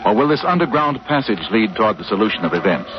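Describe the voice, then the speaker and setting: A man's voice: on the low side, big pitch swings, fast. A man announcing a 1940s radio serial with dramatic, theatrical, suspenseful cliffhanger narration. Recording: muffled, noisy vintage radio recording.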